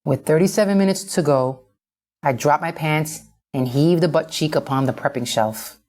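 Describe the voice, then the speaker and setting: Low-voiced woman narrating a story. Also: moderate pace, a little mellow. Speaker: audiobook narrator. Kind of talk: first-person scripted story narration.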